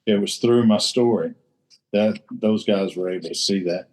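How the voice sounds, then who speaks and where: A man's voice: fairly low pitch, normal speed, darkish tone. A man sharing in a recovery roundtable in a blunt, salty way, swearing freely and repeating himself for emphasis. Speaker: recovery group member sharing. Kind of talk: casual share in a recovery roundtable.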